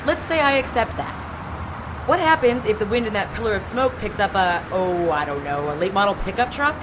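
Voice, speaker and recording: An actress in an audio drama playing a sarcastic, exasperated, bossy female police detective with a sharp, commanding manner. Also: medium pitch, lively intonation, fairly quick, background noise, lightly acted.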